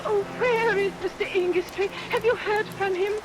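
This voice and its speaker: A woman's voice: extremely high pitch, steady tone, normal speed. An actress playing an earnest, distressed young woman in vintage radio melodrama, pleading anxiously. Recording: vintage radio recording under rain noise.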